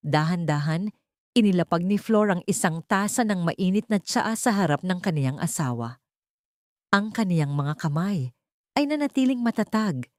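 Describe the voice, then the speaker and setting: A woman's voice: fairly low pitch, a little mellow, no echo, clean studio sound. A woman storyteller reading a scripted dramatic audio story.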